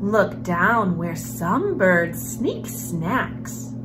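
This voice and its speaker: A young woman hosting a children's story time, reading a picture book aloud in an expressive storyteller's manner. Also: on the low side, very slow, noisy background. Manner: expressive, animated storyteller, with hushed passages. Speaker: host of a children's read-aloud series. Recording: music behind the voice. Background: background music.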